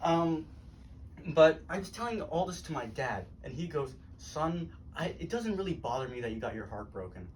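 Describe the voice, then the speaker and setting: A teenage man from Los Angeles doing a deadpan live stand-up set, often laughing at his own jokes. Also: a little high, normal speed. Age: teens. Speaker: stand-up comedian. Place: comedy club stage, live audience. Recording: noisy live room, mic at a distance.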